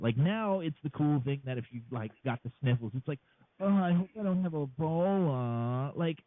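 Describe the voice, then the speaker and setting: A brash male host of a crude comedy talk show, mocking his co-hosts and slipping into exaggerated voices, with a lively, bouncing delivery. He sounds tinny and narrow, like he's coming down a phone line. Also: a little high. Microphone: call-in phone line. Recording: phone-line call-in audio.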